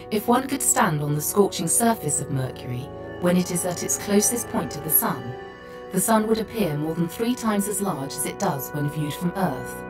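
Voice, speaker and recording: Woman as low, calm ship computer guide. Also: lightly acted. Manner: measured, factual. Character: female spaceship computer voice, calm informative tour guide. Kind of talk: scripted educational narration. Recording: slightly distant voice under music. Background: music.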